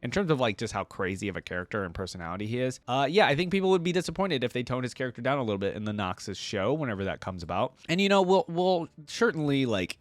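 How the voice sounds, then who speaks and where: A man's voice: medium pitch, no echo. Casual man rambling in a dry recording. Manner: rambling, thinking aloud, conversational. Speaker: video creator reacting to game lore. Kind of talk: casual reaction commentary to viewers.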